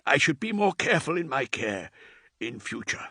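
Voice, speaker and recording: A man's voice: fairly high pitch, very animated, normal speed, pushed and bright, husky, no echo, studio quality, pitched above the performer's own voice, full character voice. A man voicing an aged, devoted male servant who speaks with deference in an audiobook. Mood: deferential. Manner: pleading, deferential.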